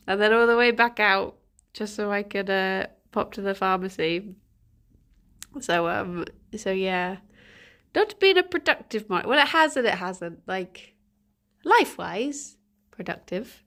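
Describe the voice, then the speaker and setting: A woman's voice: mid-range voice, a little slow, a bit bright. Casual woman streamer, young, stuffy-nosed, giggly and chatty. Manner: chatty, giggly, greets viewers by name. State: congested, stuffy nose. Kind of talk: casual morning chat with viewers.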